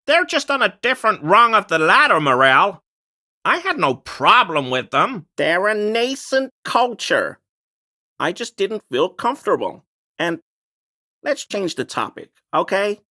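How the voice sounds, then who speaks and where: An actor voicing a fussy, defensive amateur cryptid enthusiast in a video game, with a pedantic, wordy, evasive and grumbling way of talking. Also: male voice, sits high, sing-song, measured pace, pushed and bright, dry recording, clean studio sound, heavily acted. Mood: defensive, uneasy. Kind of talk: video game character dialogue.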